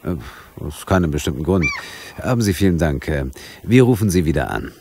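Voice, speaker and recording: An actor playing a skeptical, probing police investigator in a crime audio drama, with a dry manner. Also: male voice, low-pitched, animated, brisk, warm tone, slight background noise. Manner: dry, questioning.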